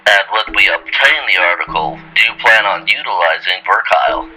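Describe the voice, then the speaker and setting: Man playing a cynical, sharp-edged male operative. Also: low voice, lively intonation, normal speed, exaggerated voice, rough recording. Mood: dry, businesslike. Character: cynical, foul-mouthed male operative on a stakeout. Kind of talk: scripted audio drama dialogue.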